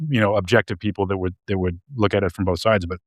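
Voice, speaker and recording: A man with a fairly low voice talks through ideas on a podcast, circling back and repeating himself as he thinks. His voice sounds dry and close to the mic. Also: fairly even intonation, fast talker, no echo. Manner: hesitant, restarts and repeats words often. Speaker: podcast co-host. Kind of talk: casual discussion.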